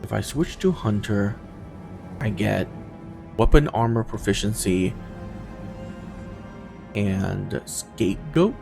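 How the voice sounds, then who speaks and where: A man with a slow, unhurried delivery and gravelly fry in his voice talks through a video game. He thinks aloud casually and hesitantly, his pitch moving around freely as he muses. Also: animated, music in the background. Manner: hesitant, musing, trailing off between thoughts.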